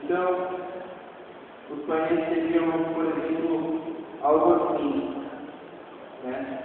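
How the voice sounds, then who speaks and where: A man's voice: fairly high pitch, steady tone, slow pace. A Brazilian man giving an informal conference talk and live demo, speaking conversationally and often adding short tag questions to check for agreement. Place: stage of a large dark auditorium. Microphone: distant camera in the audience. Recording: echoey, noisy hall, tinny, from far off.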